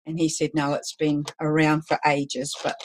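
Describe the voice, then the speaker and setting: A woman teaching a live balloon-twisting lesson, talking loudly and chattily with some self-deprecating humor. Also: sits low, monotone, normal speed, a little hiss or hum, rough recording. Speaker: balloon artist teaching a workshop. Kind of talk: live online demonstration lesson.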